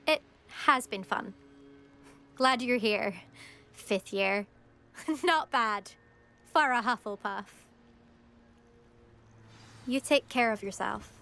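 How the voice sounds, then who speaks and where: Competitive schoolgirl in a video game, highish voice, cocky n teasing. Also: slow, creaky, long pauses, sharp tone, heavily acted. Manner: confident, teasing. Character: competitive, cocky teenage schoolgirl broom racer.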